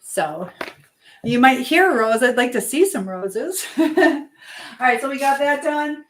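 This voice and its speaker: A woman's voice: high voice, animated, unhurried, a little hiss or hum. Woman chatting casually on a rambling live stream. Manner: rambling, hopping between topics and replies. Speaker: live stream host and life coach. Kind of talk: casual live stream chat, reading out viewer comments.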